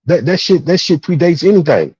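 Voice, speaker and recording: Casual male panelist, husky and relaxed, halting but emphatic, swears freely, lo-fi sound. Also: a little high. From Texas, United States. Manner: emphatic, repetitive, halting phrasing. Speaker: discussion participant. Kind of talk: casual, profane panel discussion. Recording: dull, roomy, muffled lo-fi audio.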